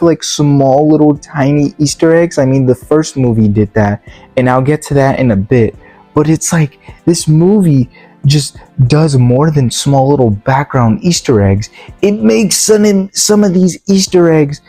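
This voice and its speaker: A man's voice: fairly high pitch, a little mellow, dry recording. A young man casually reviewing a video game movie, rambling and blunt with lots of fillers, his voice sounding tired and worn out.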